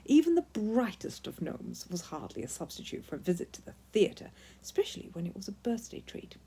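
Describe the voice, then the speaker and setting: A woman narrating a children's story in an expressive, emphatic read-aloud style. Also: mid-range voice, brisk, husky.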